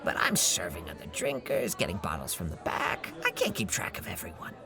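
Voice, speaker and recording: A man playing an affable, nervous bar owner in a noir audio drama, gravelly and hesitant, in a folksy, placating way. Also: sits low, wildly swooping pitch, a little fast, music in the background. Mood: nervous, evasive.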